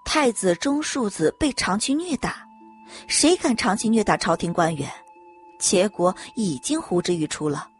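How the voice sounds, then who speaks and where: A woman reading scripted dramatic audiobook narration in an expressive storytelling manner. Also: mid-range voice, unhurried, soft background music.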